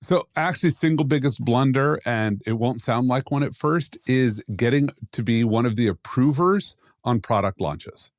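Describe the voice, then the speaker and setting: A man's voice: mid-range voice, moderate pace, few pauses, dry recording, clean studio sound. A man co-hosting a podcast, giving casual, opinionated commentary in a blunt, emphatic way. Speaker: podcast co-host, security expert.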